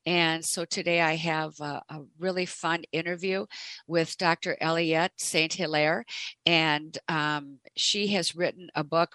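A middle-aged woman hosting a radio money show, introducing her guest in an unhurried way with many fillers. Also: fairly low pitch, fairly bright tone, no room sound. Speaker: radio show host and wealth advisor.

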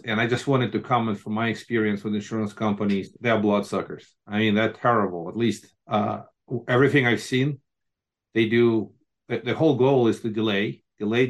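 Halting man hosting a podcast. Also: lowish voice, fairly even intonation. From Moldova. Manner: halting, repeats words often. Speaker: podcast host. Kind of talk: interview hosting.

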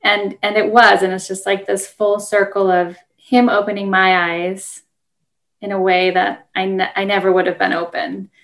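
A woman's voice: mid-range voice, little pitch movement, moderate pace, a bit bright. An American woman interviewing a guest in a conversational, unscripted way.

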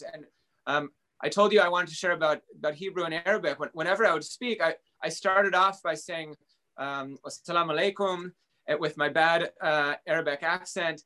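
A man's voice: on the high side, bright, forceful tone. A middle-aged man, a rabbi, chatting casually about interfaith ties, full of fillers and restarts. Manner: halting, many fillers and restarts.

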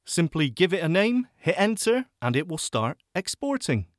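A man's voice: high-pitched, normal speed, dry recording. Male tutorial host, chatty and self-deprecating, dry close-miked sound. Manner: chatty, self-mocking, animated asides. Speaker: podcast host teaching audio editing. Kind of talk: screen-reader software tutorial.

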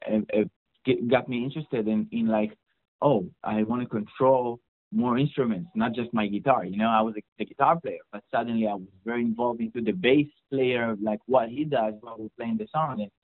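A man's voice: mid-range voice, fairly even intonation, fairly quick, no room sound. A young man with an Israeli accent, chatting casually as an interview guest about his work as a mixing engineer, rambling with many fillers and restarts. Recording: dry, phone-like audio.

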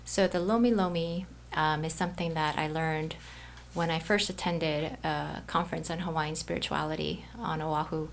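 A woman with a lowish, gravelly voice talks in an easygoing way as a guest, soft-spoken and full of fillers. She is from Brazil and works as a healer. There is a faint hiss under her voice. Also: fairly even intonation, normal speed. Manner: soft-spoken, laid-back, many fillers. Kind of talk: casual interview chat.

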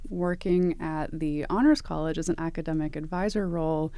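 A woman in her thirties with a low, mellow voice, answering an interviewer's questions about her art. She talks thoughtfully, pausing with ums and restarting her sentences. The sound is dry and close. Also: no room sound. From East Tennessee, USA. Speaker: artist and academic advisor.

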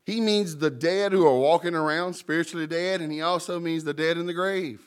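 A man's voice: a little high, brisk, smooth. A man preaching a sermon with emphasis, calling out to the congregation and joking about his own mumbling. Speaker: pastor.

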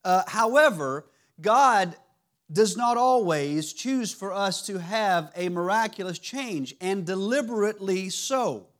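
A man with a raspy voice giving a church teaching sermon, halting and restarting often. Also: high voice, lively intonation. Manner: halting, many restarts and fillers. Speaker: lay preacher. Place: church sanctuary.

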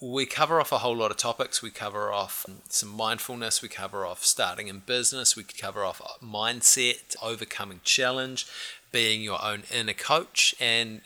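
A man's voice: on the low side, moderate pace, bright, forceful tone. A man, hosting a podcast interview and asking upbeat, friendly questions. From New Zealand. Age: 30s. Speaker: podcast host.

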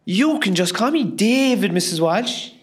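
A woman's voice: mid-range voice, animated, measured pace, close to an everyday voice. A woman playing a friendly male nurse in a radio comedy, polite and reassuring. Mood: friendly, businesslike. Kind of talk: comedy radio play acting.

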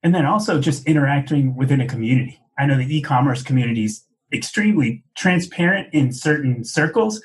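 A man's voice: mid-range voice, lively intonation, brisk, few pauses. A man hosting a business podcast, asking friendly, long multi-part questions in a conversational interview. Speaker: podcast host.

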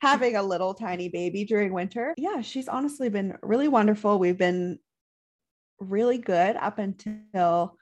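A young woman co-hosting a casual podcast chat, talkative and quick to giggle. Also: mid-range voice. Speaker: podcast co-host, nurse.

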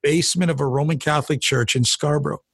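A middle-aged pastor speaks with a husky, gravelly voice that sits a little high for a man. He tells his testimony earnestly and conversationally, circling back and repeating words as he finds them. The sound is dry and close. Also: steady tone, quick pace, a bit bright, no room sound. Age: 40s or older. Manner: earnest, storytelling, frequent restarts and repeated words. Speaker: pastor and guest. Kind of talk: personal testimony in a conversational interview.

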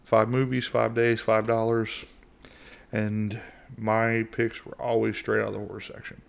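A middle-aged man from the United States hosting a casual solo podcast in a monotone voice, rambling with lots of fillers and restarts. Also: fairly low pitch, a little slow, gravelly, smooth. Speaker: podcast host.

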